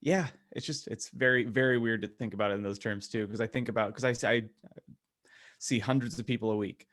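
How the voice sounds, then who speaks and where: A man co-hosting a podcast in casual chat, rambling and halting as he talks. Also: fairly low pitch, steady tone, fairly bright tone. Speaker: podcast co-host.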